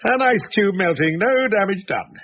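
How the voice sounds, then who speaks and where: An actor playing a teasing, talkative husband in a radio drama, wordy and mock-grand with a playful menace. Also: male voice, high-pitched, expressive, moderate pace. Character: theatrical, teasing, talkative husband in his thirties or older. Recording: dull, lightly noisy old radio recording.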